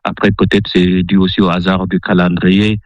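A man's voice: low voice, flat delivery, normal speed, no room sound, dull audio. A man, a sports journalist, giving his views in a radio interview, hesitating often with fillers. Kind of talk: interview answer.

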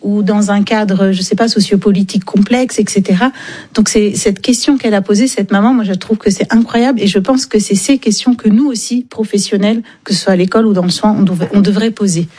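A woman's voice: mid-range voice, steady tone, brisk, mellow. A woman psychologist, a studio guest, explaining her point emphatically and with enthusiasm. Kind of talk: expert commentary in studio. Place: radio studio.

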